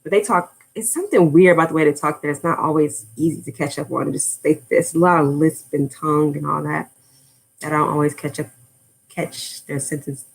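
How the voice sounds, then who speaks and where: A woman's voice: low voice, steady tone, a little fast, vocal fry, breathy edge, low-quality recording. An American woman chatting casually on a livestream, rambling and drifting off topic with lots of fillers. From United States. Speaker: language-learning YouTuber.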